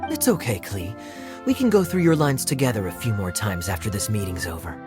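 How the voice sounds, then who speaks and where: An actor playing a suave, gently reassuring young man in a video game, patient and playful. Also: fairly low pitch, lively intonation, brisk, over music, lightly acted. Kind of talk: video game cutscene acting.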